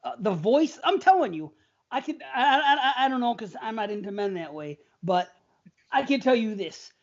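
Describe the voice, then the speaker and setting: A man's voice: high voice, sing-song, normal speed, highs cut off. A man co-hosting a casual horror podcast over a remote call, rambling and stammering as he talks. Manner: rambling, stammering, laughs often. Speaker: podcast co-host. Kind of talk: casual banter.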